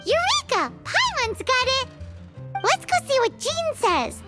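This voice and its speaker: Squeaky, excitable little fairy companion. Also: female voice, unhurried, full character voice. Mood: eager. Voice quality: squeaky, childlike. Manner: exuberant, speaks of herself in third person. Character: tiny excitable female fairy companion, childlike and cheeky. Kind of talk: animated video game voice acting. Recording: background music.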